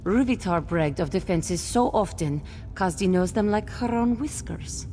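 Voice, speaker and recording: Female cat-folk captive, very slow, lowish pitch, bitter and dramatic, fantasy game, cavernous reverb. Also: animated, warm tone, soft background music. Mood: scornful, conspiratorial. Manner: refers to herself in third person, dramatic. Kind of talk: fantasy video game voice acting.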